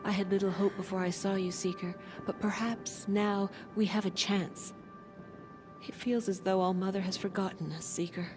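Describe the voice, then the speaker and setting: A solemn, weary tribeswoman of deep faith, played by a woman, speaks at a middle pitch. It is dramatic game dialogue, with music clearly underneath. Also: steady tone, moderate pace, natural voice. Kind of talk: dramatic video game acting.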